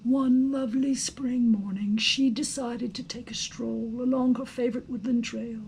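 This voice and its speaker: A woman reading a storybook aloud with deliberate, dramatic expression, like a teacher reading to students. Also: a little high, steady tone, darkish tone, slight background noise, poor audio.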